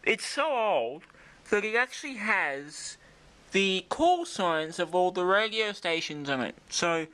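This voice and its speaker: A man's voice: sits high, big pitch swings, slow pace, sharp tone. An Australian man casually presenting a demonstration video, informal and rambling as he thinks aloud. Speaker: video host demonstrating a vintage radio.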